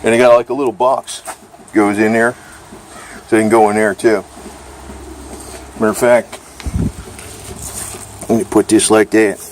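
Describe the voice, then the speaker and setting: A man with a fairly low voice talks off the cuff in a casual vlog. He rambles informally and swears a little as he shows his gear. There is faint steady noise behind him outdoors. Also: a little slow, long pauses, poor audio. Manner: rambling, informal, mildly profane. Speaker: vlogger reviewing radio gear.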